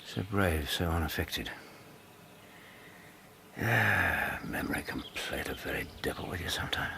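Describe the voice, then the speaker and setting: An actor playing a loyal, melancholy English gentleman in a radio drama, reflective in his manner. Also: low voice, expressive, a little slow, creaky, noisy background, full character voice. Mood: grieving, reflective. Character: loyal, melancholy widowed gentleman, detective's companion.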